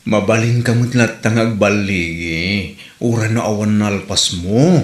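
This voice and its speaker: A man playing a practical parent giving steady advice in an Ilocano comedy audio drama. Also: lowish voice, a little slow, lightly acted. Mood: admonishing. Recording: roomy, echoey.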